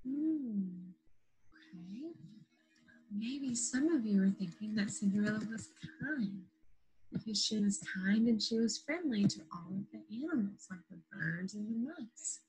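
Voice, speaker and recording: A woman teacher leading an interactive lesson for young children after a read-aloud, in simple, patient phrases with questions and drawn-out key words. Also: medium pitch, lively intonation, darkish tone, slightly rough, poor audio. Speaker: primary school teacher.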